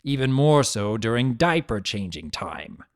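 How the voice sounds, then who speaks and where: A man's voice: medium pitch, big pitch swings, a little slow, no room sound, studio quality. A man voicing a vain, spiteful spirit of chaos trapped as a statue, telling a story in a theatrical, sardonic, disdainful way. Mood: disdainful, mocking. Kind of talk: first-person dramatic fan fiction reading.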